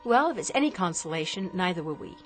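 An actress playing a sheltered, discontented young daughter, earnest and dramatic in a radio drama. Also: female voice, fairly low pitch, very animated, fast talker, soft background music. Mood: earnest, wistful.

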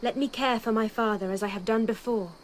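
An actress playing a gentle, anxious and devoted young woman in a radio drama, speaking earnestly and with deference. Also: on the high side, brisk, slight background noise, close to an everyday voice. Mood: dutiful.

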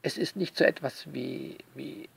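Slow, creaky-voiced man, theatrically sarcastic. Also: a little high, dry recording. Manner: theatrical, mock-indignant, drawn-out emphasis. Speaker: author commenting on their own novel. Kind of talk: sarcastic spoken essay addressed to listeners.

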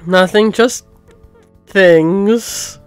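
A man voicing a restless, blunt young female cat in a game playthrough, lifting his voice higher for her. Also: extremely slow, clean tone, dry recording, faint music behind. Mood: casual. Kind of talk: let's play voice acting.

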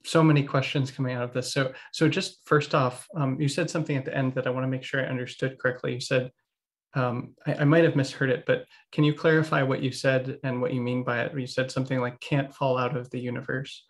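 A man hosts a podcast over a video call, speaking through a headset. His pitch sits in the middle range and barely moves. He asks his questions gently and carefully. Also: little pitch movement, a little fast. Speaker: podcast host. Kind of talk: interviewing, casual conversation. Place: indoor room, video call.

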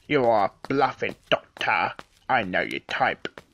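A man's voice: fairly low pitch, big pitch swings, slow pace, highs cut off, slight background noise, full character voice. A man playing a desperate, vengeful villain in an audio drama, accusing and confrontational. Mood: bitter, accusing. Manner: confrontational, forceful.

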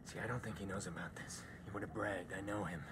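An actor playing a grim, guarded vigilante hacker in a video game, gravelly and terse. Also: male voice, fairly even intonation, fast talker, background noise, natural voice. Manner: terse, low-key. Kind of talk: cinematic video game acting.

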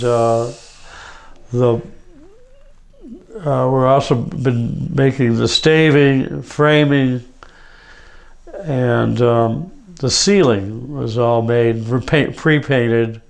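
An older man, a boatbuilder, explaining his work on camera in a halting, casual way from inside a boat hull in a workshop, close to the camera. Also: medium pitch. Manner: halting, stops and restarts, gravelly.

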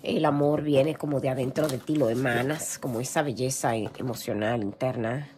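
A hoarse woman reading tarot cards in a casual, gentle way, clearing her throat often. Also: a bass voice, normal speed, slight background noise. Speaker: tarot reader. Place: indoor room with a tarot table. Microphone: camera over table, hands seen.

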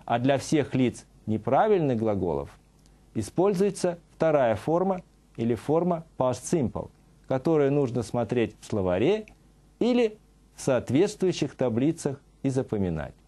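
A middle-aged man teaching on a TV studio set, reading a scripted lesson and saying example sentences slowly and carefully. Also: mid-range voice, big pitch swings, warm tone. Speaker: English teacher.

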